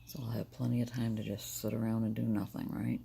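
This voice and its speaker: An older woman with a deep, gravelly, smoker-like morning voice, tired and short on sleep, talking candidly in a rambling personal video diary. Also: level tone, quick pace, dark tone, slight background noise. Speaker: vlogger.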